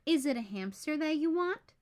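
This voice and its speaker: A woman voicing cautious, patient parents in a children's picture book, in a measured, questioning way. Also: high voice, animated, voice pushed up.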